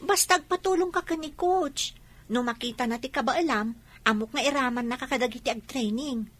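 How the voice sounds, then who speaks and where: A woman's voice: high voice, fairly quick, fairly bright tone. A woman with a Filipino accent acting a bossy but encouraging young school friend in a radio drama, urging and insistent.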